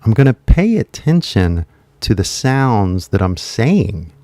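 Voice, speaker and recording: A man's voice: fairly low pitch, sing-song, warm tone, dry recording. A man voicing a determined English learner. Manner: repetitive, slow deliberate phrasing with fillers. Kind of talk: modeled learner self-talk.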